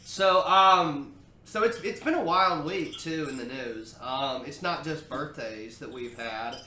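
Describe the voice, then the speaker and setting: Male podcast host, bright and slightly high voice, halting delivery full of fillers, roomy echo. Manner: halting, many filler sounds. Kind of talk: casual chat. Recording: roomy echo, faint noise.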